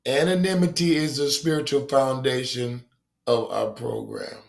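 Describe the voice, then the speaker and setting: A man around sixty with a deep voice, telling his recovery story as a guest in a reflective, halting way with many pauses and ums. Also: expressive, vocal fry. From Rochester, New York, USA.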